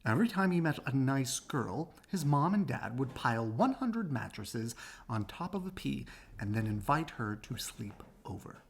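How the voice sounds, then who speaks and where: A woman reading a children's book aloud as an expressive storyteller. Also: a bass voice, normal speed, vocal fry, slight background noise.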